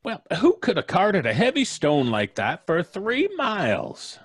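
Crotchety, husky, wildly inflected country doctor. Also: male voice, high voice, wildly swooping pitch, unhurried, no echo, studio quality, full character voice. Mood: testy, rattled. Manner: blustery, exasperated, folksy. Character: crotchety rattled small-town doctor, blustering, skeptical. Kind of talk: old-time radio drama acting. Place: home recording, live remote video call.

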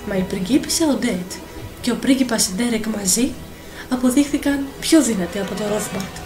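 A woman narrator reading a dramatic, scripted fairy tale for an animated film. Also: fairly high pitch, poor audio. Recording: voice over clear film music.

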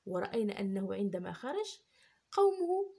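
A woman retelling a religious story for young children, gently explaining and repeating herself as she goes. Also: mid-range voice, very animated, moderate pace, creaky. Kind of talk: unscripted storytelling for kids.

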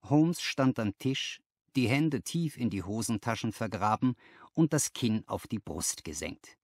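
A man's voice: fairly low pitch, expressive, unhurried, no echo, clean studio sound. A man reading a story aloud as scripted literary narration. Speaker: audiobook narrator.

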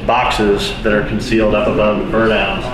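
A middle-aged man with a lowish voice walks a group through a gutted building, explaining the work in a relaxed way with plenty of ums and uhs. He is heard from a distance in a bare, strongly echoing room with a long reverb tail. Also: normal speed, a crowd in the background. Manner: casual and explanatory, with frequent fillers. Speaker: architect leading a tour. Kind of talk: walking tour explanation. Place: large gutted building under renovation. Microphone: camera from a distance. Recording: echoey bare room from far off.

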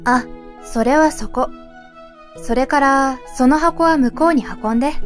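Woman as young shrine maiden, high-pitched, deadpan, grumbling, exasperated retorts, anime fan drama. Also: measured pace, faint music behind. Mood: matter-of-fact.

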